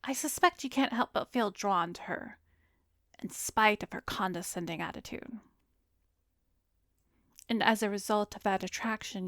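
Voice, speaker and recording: A woman playing a composed, soothing therapist in an ASMR roleplay, speaking gently and measuredly, often slipping into a breathy whisper, close to the mic. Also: mid-range voice, dry recording. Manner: measured, gentle, professional, probing. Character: composed, soothing female therapist, secretly an arrogant vampire. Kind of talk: ASMR roleplay, addressed to the listener. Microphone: close studio microphone, dry.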